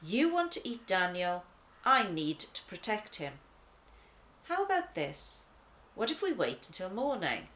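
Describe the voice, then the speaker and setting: Woman voicing a patient angel, slow and lilting. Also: medium pitch, very animated, a bit bright. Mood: courteous, reassuring. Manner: measured explaining, reassuring. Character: calm, patient, courteous guardian angel. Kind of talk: children's storybook character voice.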